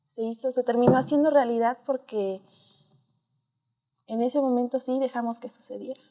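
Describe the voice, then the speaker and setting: A woman's voice: fairly high pitch, fairly even intonation, moderate pace. A woman with a Mexican accent, an interview guest telling personal stories in a conversational, hesitant, reflective way.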